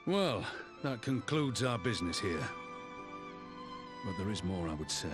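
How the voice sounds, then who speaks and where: An actor playing a stern, sober military commander in a video game, speaking gravely, formally and directly. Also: male voice, fairly low pitch, sing-song, a little slow, music in the background. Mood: grave, businesslike. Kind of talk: video game cutscene acting.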